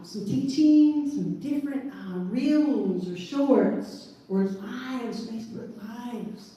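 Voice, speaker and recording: A woman with a deep Southern accent giving a chatty, animated talk at a live event. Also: a little hiss or hum. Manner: chatty and animated, laughs at herself. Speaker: event speaker. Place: large event hall. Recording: echoey hall, distant mic.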